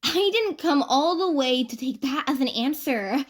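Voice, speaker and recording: A woman's voice: high-pitched, animated, a little slow, voice pushed up. A woman voicing a playful, dramatic college student with a mischievous streak, gushing and pleading theatrically. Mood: whiny pleading.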